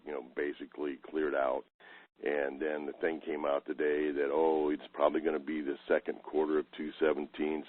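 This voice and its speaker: An American man from Oklahoma chatting casually as a caller, in a jovial, talkative way. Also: extremely low pitch. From Oklahoma, United States. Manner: chatty, jovial, chuckles often. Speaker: caller from Oregon. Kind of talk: casual chat. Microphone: telephone. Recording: narrow phone line, radio-like.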